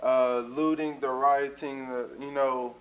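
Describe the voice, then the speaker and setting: A man's voice: mid-range voice, level tone, takes it slowly, highs cut off. A man, hosting a casual church talk show as a minister, earnest and full of fillers. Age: 20s.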